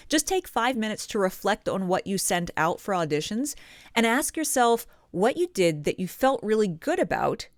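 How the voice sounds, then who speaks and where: A woman's voice: mid-range voice, dry recording, clean studio sound. A woman giving encouraging, explanatory advice straight to viewers as a video host, stressing her key points. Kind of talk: direct-to-viewer coaching talk. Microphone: close studio condenser microphone.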